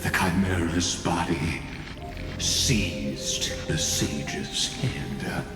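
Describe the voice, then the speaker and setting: A man playing a menacing godlike mastermind villain in a video game, coldly courteous and mocking, with grandiose, deliberate delivery. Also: low voice. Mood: mocking, sinister. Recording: long hall reverb over music.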